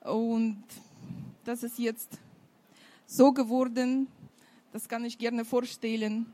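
Eine Frau in ihren Fünfzigern aus der Ukraine mit russischem Akzent, Muttersprache Russisch, die zögernd und mit vielen Füllwörtern ihr Kinderbuch auf einer Buchmesse vorstellt. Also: female voice, fairly high pitch, level tone, mellow. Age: 50s. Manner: halting, many fillers, non-native grammar. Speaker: children's author. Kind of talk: book fair presentation.

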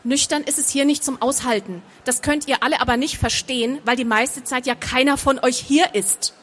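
A woman's voice: high-pitched, normal speed, a bit bright, slight background noise. A woman voicing a stubborn, sarcastic, hard-drinking woman of action in a storybook, blunt and defiant. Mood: bitter.

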